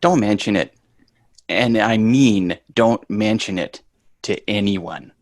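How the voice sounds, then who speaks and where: A man's voice: lowish voice, slow pace, dry recording. A man playing a reluctant, wisecracking young son in a sitcom table read. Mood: grudging, sarcastic. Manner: sarcastic, dry wisecracks.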